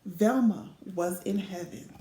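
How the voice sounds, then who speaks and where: A woman reading a children's picture book aloud expressively, with dramatic, deliberate phrasing. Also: mid-range voice, warm tone, roomy sound, rough recording. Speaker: storybook reader.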